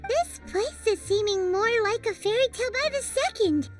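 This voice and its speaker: A woman's voice: very high-pitched, lively intonation, moderate pace. A woman voicing a tiny chatty fairy-like companion in a game cutscene, speaking of herself in the third person. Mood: excitable. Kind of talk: video game cutscene dubbing. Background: game music.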